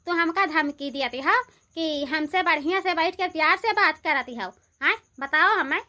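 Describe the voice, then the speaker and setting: A woman's voice: high-pitched, moderate pace, pushed and bright. A woman voicing a defiant village woman in a cartoon, challenging and insistent, with an Awadhi accent. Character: defiant village woman confronting her lover. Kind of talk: amateur cartoon voice acting.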